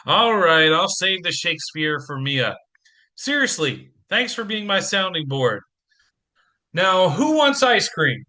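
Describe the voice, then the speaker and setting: An actor playing a harried single dad in an audio play, joking and self-mocking in a theatrical manner. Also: male voice, high-pitched, very animated, slow pace, sharp tone, exaggerated voice. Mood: playful.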